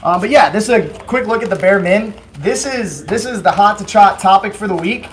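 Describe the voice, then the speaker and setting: A man hosting a casual livestream, chatty and joking, with a hoarse voice today. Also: high-pitched, a little fast. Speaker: host of a company livestream. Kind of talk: casual product livestream chat.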